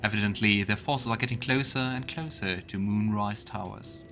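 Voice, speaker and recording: A man with a fairly low voice hosts a let's play in a casual way. He thinks aloud and reads on-screen text, with clear game music playing behind him. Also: moderate pace. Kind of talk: casual gameplay commentary. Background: video game music.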